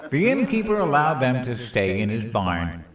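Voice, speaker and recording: An actor playing a clueless, self-assured president in a comedic audio drama, hesitant and earnestly rambling. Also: male voice, medium pitch, recorded from a distance. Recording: roomy echo, off the mic.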